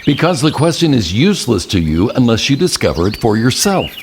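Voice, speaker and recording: An actor playing an older male mentor and army general in an audio drama, speaking in a measured, patient, enigmatic way. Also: mid-range voice, very animated, slight background noise. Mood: grave, instructive.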